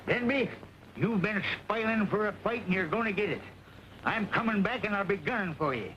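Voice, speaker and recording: A hot-headed frontier rebel from an old western film. His rural American drawl is high and folksy, ready for a fight. The soundtrack is dull and full of noise. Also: expressive, normal speed. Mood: defiant, threatening. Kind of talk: 1930s western film acting.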